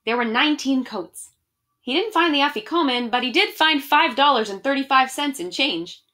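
A woman's voice: high-pitched, expressive, normal speed, pushed and bright. A young adult woman reading a picture book aloud expressively and chatting casually to children from a small room at home. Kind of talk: animated read-aloud and casual address to children.

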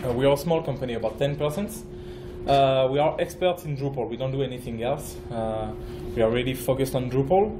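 French guy giving a conference talk, lots of uhs and restarts, roomy echo and background noise. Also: medium pitch. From France. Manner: halting, frequent fillers and restarts. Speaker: project manager, conference speaker. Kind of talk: conference presentation. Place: conference room.

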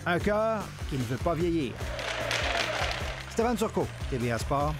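A man's voice: a little high, very animated, measured pace, over loud music. A man reporting a TV sports feature in voice-over, wry and lightly teasing.

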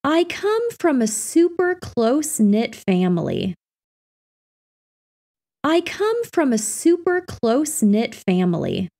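A woman reading everyday practice phrases for an English lesson in a clear, carefully enunciated scripted delivery. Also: on the high side, wildly swooping pitch, takes it slowly, mellow.

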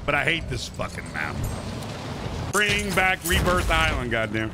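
A man's voice: a little high, huge pitch swings, a little slow, noisy background. A man in his thirties live-streaming a game, bantering crudely with chat and swearing often. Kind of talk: casual live-stream chat while gaming. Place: indoor room at a gaming chair.

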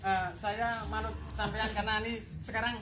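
A man's voice: sits high, level tone, brisk, heavy background noise. A man chatting casually as a cattle trader. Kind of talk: casual chat. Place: outdoors in a village yard. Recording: busy outdoor yard chatter.

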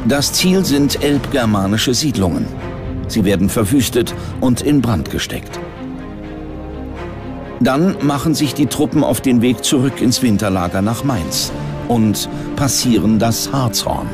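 A man's voice: medium pitch, a little slow. A man reading scripted documentary narration as the narrator. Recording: music bed under the voice. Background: music.

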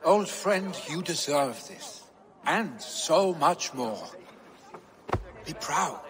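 A brash young Viking warrior from a video game drama. His voice sits a little high for a man and moves slowly and deliberately. He speaks with earnest, heartfelt weight, like a jarl's son. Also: expressive, a crowd in the background. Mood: solemn, proclaiming.

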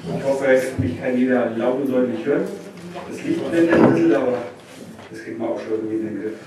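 A man's voice: medium pitch, fairly quick. A young man performing spoken-word poetry live on a small stage, picked up by a distant camera. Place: indoor small venue stage before an audience. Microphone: distant camera microphone. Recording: distant, very echoey, noisy room recording.

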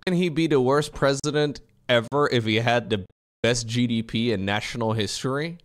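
A man's voice: mid-range voice, moderate pace. A young man streaming from a small room at home, chatting casually and jokingly while reading chat aloud. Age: young adult. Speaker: streamer editing a video. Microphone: desk mic, wearing headphones, webcam framing.

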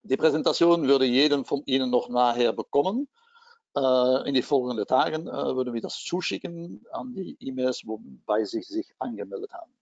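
A man from Belgium with a Belgian accent, presenting a corporate webcast in German as a non-native speaker, halting with many filler sounds. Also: mid-range voice, expressive, vocal fry, darkish tone, no echo, lo-fi sound. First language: not German. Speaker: company division head presenting a webcast.

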